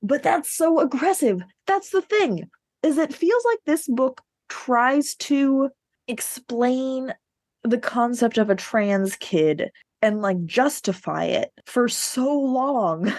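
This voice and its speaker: A woman's voice: high voice, expressive, takes it slowly, a little mellow, no room sound. A woman podcast host in a casual book discussion, speaking in a blunt, informal, sweary way.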